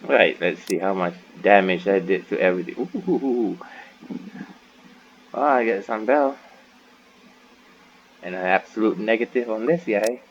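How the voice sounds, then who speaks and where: A man's voice: fairly low pitch, normal speed, pauses often. A man giving casual, off-the-cuff commentary as the host of a gaming playthrough. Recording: muffled mic, faint background noise.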